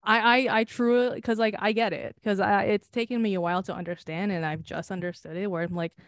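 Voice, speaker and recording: A young woman co-hosting a casual podcast, talking in long, loose, candid stretches. She swears often and laughs easily. Her pitch sits in the middle for a woman, and the recording is dry and close. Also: steady tone, quick pace, no echo. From United States. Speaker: podcast co-host. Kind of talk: casual chat.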